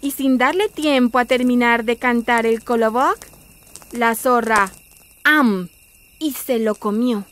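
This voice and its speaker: A woman narrating an animated fairy tale as a scripted storyteller. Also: on the high side, slow, slight background noise.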